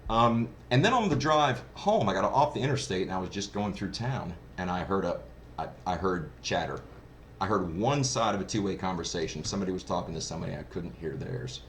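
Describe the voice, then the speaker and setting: Male hobbyist reviewer, low-pitched, informal and keen, telling anecdotes with noticeable ambient noise behind. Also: animated, fairly quick. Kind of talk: casual first-hand review vlog. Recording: clearly noisy background ambience.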